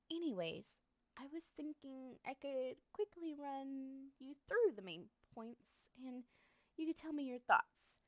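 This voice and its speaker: A woman playing a coy lovestruck girl, high-voiced. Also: dry recording. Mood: nervous, eager. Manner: coy, rambling, hesitant, with pointed emphasis. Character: lovestruck young woman nervously hinting a confession, obsessive. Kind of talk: ASMR roleplay addressed to the listener.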